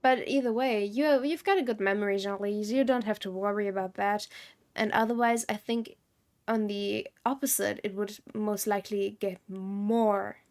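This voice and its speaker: A woman's voice: mid-range voice, fairly even intonation, moderate pace, a little mellow. A woman game streamer giving casual live commentary, musing and thinking aloud.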